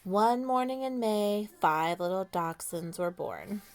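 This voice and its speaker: Animated woman reading a picture book aloud. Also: mid-range voice, slight background noise. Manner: expressive, with dramatic pauses and questions to listeners. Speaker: children's storytime reader.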